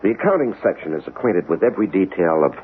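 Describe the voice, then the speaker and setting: A precise, deferential accounts clerk in a 1970s radio play. His voice is low but swings widely in pitch, on a muffled old recording. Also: sing-song, fast talker, slight background noise. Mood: dutiful, precise. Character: meek, dutiful, precise government accounts clerk. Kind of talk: 1970s radio drama acting.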